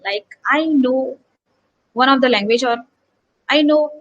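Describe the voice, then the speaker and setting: A woman's voice: sits high, measured pace, dull audio. A woman from Gujarat, an associate professor giving an online workshop lecture and asking her listeners rhetorical questions. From Gujarat, India. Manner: earnest teacherly tone with rhetorical questions.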